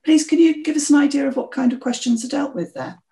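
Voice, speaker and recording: A woman hosting a podcast, introducing the show and asking a guest clear, friendly questions. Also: high-pitched, quick pace, warm tone. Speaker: podcast host. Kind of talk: interview podcast.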